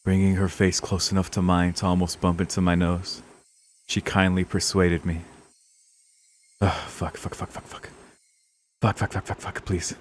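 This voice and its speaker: Low-voiced man reading a visual novel. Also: little pitch movement, normal speed, slight background noise. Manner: dramatic, sweary reactions. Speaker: streamer playing a visual novel. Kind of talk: reading game narration aloud with casual reactions. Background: game music.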